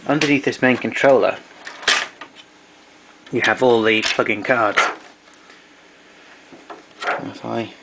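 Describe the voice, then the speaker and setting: A man's voice: mid-range voice, steady tone, slow pace, gravelly, long pauses, background noise. A man giving an informal technical demonstration of equipment, explaining things methodically.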